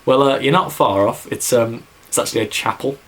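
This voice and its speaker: A man's voice: mid-range voice, fairly quick, low-quality recording. A young man from England, near Birmingham, with a mild Midlands accent, casually answering viewer questions in a rambling way full of fillers. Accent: English Midlands. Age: young adult. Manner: rambling, lots of fillers and "like", says so himself. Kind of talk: casual vlog Q&A.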